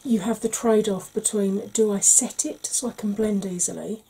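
A woman in her forties, a makeup vlogger, talking through an ASMR makeup tutorial slowly and gently in a soft, breathy voice that often drops to a whisper, recorded at home. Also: mid-range voice, fairly even intonation, poor audio. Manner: slow, gentle, patient explaining. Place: small home room.

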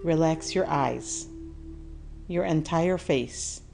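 A woman leading a guided meditation, giving calm instructions in a soothing, measured manner with deliberate pauses. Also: deep voice, gravelly, faint music behind.

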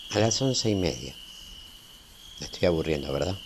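A man acts out a folksy, middle-aged Argentine family man in a radio play. His voice sits fairly low, and he talks warm-heartedly and at length in a Rioplatense accent, checking that his listener agrees. Crickets and faint hiss sit behind him. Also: expressive, moderate pace, creaky. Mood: reassuring, homely. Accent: Rioplatense Argentine Spanish. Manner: talkative, folksy, seeking agreement. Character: chatty, tender-hearted small-town family man, patient and homely. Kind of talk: amateur radio play acting. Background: crickets, night ambience.

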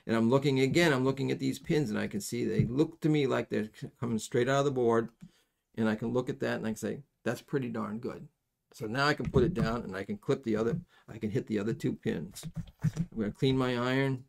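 A middle-aged man giving a casual hands-on soldering tutorial, folksy and halting as he thinks aloud. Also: medium pitch, creaky. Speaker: electronics soldering instructor. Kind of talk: hands-on tutorial, explaining casually while working. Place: indoors at a workbench.

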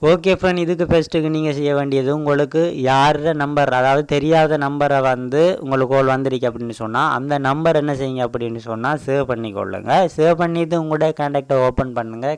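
Man, Tamil accent, smooth voice, dry close mic, casual step-by-step tutorial. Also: medium pitch, no room sound. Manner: repetitive filler "vandhu", explains step by step. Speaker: tech channel host.

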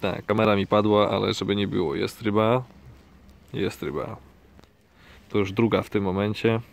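A man's voice: lowish voice, level tone, moderate pace, vocal fry. A man recording a casual fishing vlog outdoors by a river on a head-mounted camera, chatty and joking with frequent restarts. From Poland. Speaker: fishing vlogger. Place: outdoors by a river on an overcast day. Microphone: head-mounted action camera.

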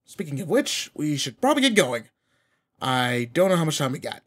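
A man playing a cocky, teasing teenage delinquent with a soft side, talking casually to the listener in a roleplay audio drama. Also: on the high side, very animated, moderate pace, a little hiss or hum. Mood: confident, brash. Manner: brash, casual, slangy teasing. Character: cocky, teasing teenage male delinquent, protective and sweet underneath.

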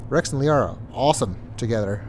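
A man's voice: medium pitch, expressive, brisk, a little mellow, a little hiss or hum. A congested man with a stuffy nose giving casual, hesitant commentary as he plays through a video game. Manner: hesitant, with many ums and uhs. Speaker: let's play host.

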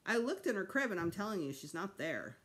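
A woman's voice: mid-range voice, sing-song, fairly quick, close to an everyday voice. Woman voicing an earnest schoolboy older brother. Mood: insistent. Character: sensible older brother, a schoolboy taking charge. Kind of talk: children's audiobook character voice.

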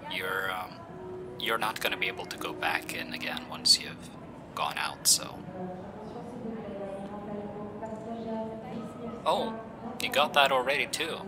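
A young man voicing an affectionate, teasing boyfriend in an audio roleplay, speaking softly and hushed. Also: lowish voice, normal speed, in a whisper, music in the background, close to an everyday voice. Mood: soft, nervous. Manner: soft, hushed, teasing with light laughs. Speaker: voice actor. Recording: steady ambient background noise. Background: busy airport ambience.

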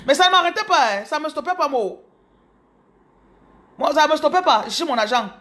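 Cameroonian woman in her thirties, higher voice, animated phone vlog to followers. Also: brisk. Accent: Cameroonian French. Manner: animated, repeats words, addresses viewers directly. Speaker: business coach. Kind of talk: casual motivational storytelling. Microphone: phone camera held close.

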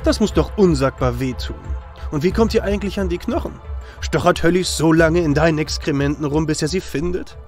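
Mocking, fearless hero , acted by a man, fantasy audio drama. High male voice, cheeky, faint music behind. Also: fairly quick, a little mellow, close to an everyday voice. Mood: taunting. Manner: taunting, cheeky.

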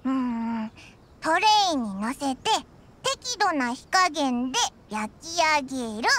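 A woman's voice: high voice, very animated, takes it slowly, fairly bright tone, full character voice. A woman voicing a tiny, childlike fairy companion in an anime game, cheerful and blunt with casual boyish wording. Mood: cheerful, eager. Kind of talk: Japanese anime game voice acting.

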